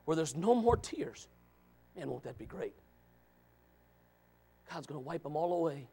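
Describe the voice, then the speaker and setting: A man preaching a revival sermon, loud and shouting, with joking asides to the congregation. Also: on the high side, big pitch swings, measured pace, slightly rough. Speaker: pastor.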